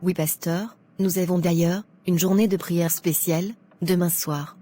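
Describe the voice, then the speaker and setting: Woman acting a blunt, low-voiced judgmental churchwoman. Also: steady tone, a little slow, dark tone. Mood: matter-of-fact. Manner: outspoken, scolding.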